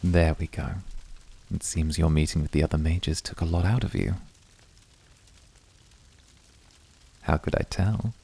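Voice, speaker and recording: Man as a husky, very deep, courtly incubus. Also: warm tone, exaggerated voice. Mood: devoted, attentive. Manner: formal, measured phrasing. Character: cool, courtly male incubus demon, devoted and dryly teasing. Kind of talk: ASMR roleplay acting.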